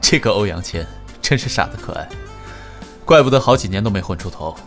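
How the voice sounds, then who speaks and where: An actor in a Chinese audio drama playing an indulgent, wistful company president, gentle, measured and understated. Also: male voice, fairly low pitch, lively intonation, soft background music. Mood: calm. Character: soft-spoken, indulgent, wistful male company president in love.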